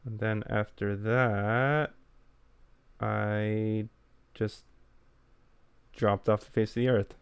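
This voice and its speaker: A young man co-hosting a casual podcast chat, talking in a low-key, plain and self-deprecating way. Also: steady tone, extremely slow, gravelly, long pauses, clear, resonant tone, dry recording. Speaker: podcast co-host.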